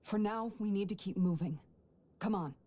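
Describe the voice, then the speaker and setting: A woman playing a steady, consoling companion in a video game drama, gentle but firm. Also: medium pitch, normal speed, lightly acted. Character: steady, consoling female companion urging the party onward.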